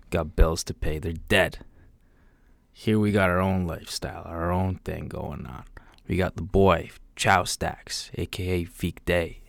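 A man's voice: low voice, vocal fry, no echo. A man voicing a swaggering Italian-American mob tough guy with a put-on Italian accent. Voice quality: boastful, streetwise patter. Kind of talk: comedic podcast intro bit.

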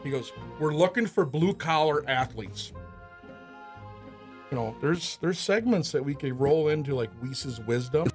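A man's voice: on the high side, animated, a little slow, faint music behind. An American man telling casual stories as a guest, emphatic and acting out what people said. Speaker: podcast guest, sports coach. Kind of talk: animated casual storytelling.

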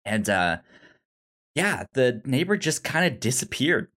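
A podcast co-host talking casually about film in a halting way, often repeating words and syllables. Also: mid-range voice, sing-song, a bit bright.

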